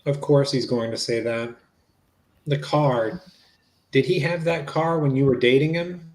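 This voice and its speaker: A man's voice: mid-range voice, steady tone, unhurried, a little mellow, lightly acted. A man reading the part of a police interviewer in a dramatic transcript reading, asking conversational, matter-of-fact questions. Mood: probing, matter-of-fact.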